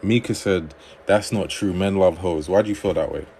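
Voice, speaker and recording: A man hosting a livestream on dating advice, reading viewer comments aloud and answering them in a relaxed conversational way. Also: sits low, moderate pace, a little hiss or hum.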